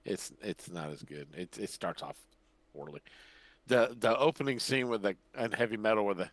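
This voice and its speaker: Older man, lowish pitch, casual podcast chat, rambling delivery, dry room-free sound. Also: animated, moderate pace, no room sound. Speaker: podcast host.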